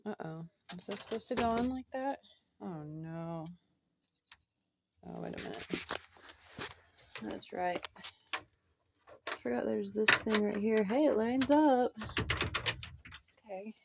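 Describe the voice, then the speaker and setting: A woman's voice: medium pitch, slow, gravelly, pauses often, heavy background noise. A woman chatting casually to her camera at home, rambling with fillers and repeating herself as she talks. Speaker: vlogger.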